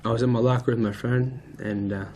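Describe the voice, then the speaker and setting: Flat-toned aggrieved teenage guy acted by a student. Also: mid-range voice, fairly quick, close to an everyday voice. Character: aggrieved male high school student defending his friend. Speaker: student actor in a peer mediation dramatization. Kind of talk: acted school role-play dialogue. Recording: noisy room ambience.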